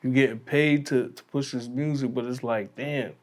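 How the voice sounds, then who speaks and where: A man's voice: medium pitch, steady tone, normal speed, darkish tone. A man speaking casually and conversationally in slang, as a DJ guest on a podcast.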